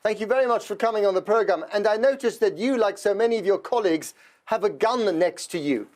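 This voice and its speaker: A man anchoring a live news program, putting brisk, polite questions to a guest. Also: sits high, a little mellow.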